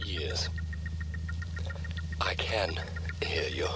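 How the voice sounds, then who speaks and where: A man's voice: rumbling low, drawn-out words, full character voice. A man playing a polite, fragile robot butler in a children's sci-fi puppet series, with formal, understated manners, on a very noisy old soundtrack. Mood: weak.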